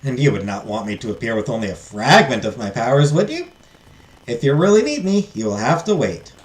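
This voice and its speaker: A grand, benevolent fairy godmother with a Southern US drawl, acted out by a woman reading a story. The delivery is formal and theatrical, with big swings in pitch. The sound is roomy. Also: sing-song, a little fast. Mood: firm, cautioning. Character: fairy godmother, benevolent, grand, teasing supernatural female spirit. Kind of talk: storybook character voice.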